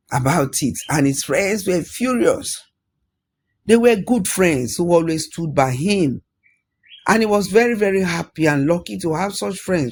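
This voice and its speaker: Male storyteller, unhurried pace, raised pitch, dramatic emphasis, oral folktale telling. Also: slightly rough.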